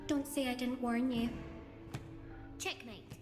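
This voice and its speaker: Cocky, high-voiced teenage witch. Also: flat delivery, slow, over music. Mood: boastful. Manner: boastful, competitive, brash. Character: cocky teenage Slytherin witch, boastful broom flyer. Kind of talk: video game character acting.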